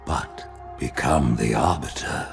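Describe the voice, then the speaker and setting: An actor playing a grave, imperious old alien prophet in a science fiction game, speaking slowly and pompously. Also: male voice, very deep voice, soft background music. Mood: solemn, grave. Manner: slow, solemn, pompous.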